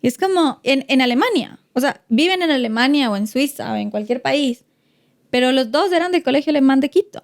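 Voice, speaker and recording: A woman's voice: high voice, lively intonation, fairly quick. A woman from Ecuador with an Ecuadorian accent, talking reflectively as a podcast guest with many fillers and restarts. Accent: Ecuadorian Spanish. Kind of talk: casual podcast conversation.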